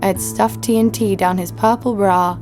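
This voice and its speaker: A woman's voice: mid-range voice, steady tone, normal speed, darkish tone, natural voice. An actress playing a tough, wry female adventurer who narrates her own story in a scripted audio drama. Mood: wry storytelling. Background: music.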